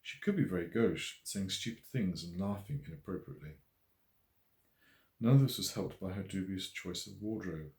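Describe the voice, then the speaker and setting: A man reading a novel as its author in a measured, literary way with expressive emphasis. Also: deep voice. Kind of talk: scripted audiobook narration. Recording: roomy, echoey voice recording.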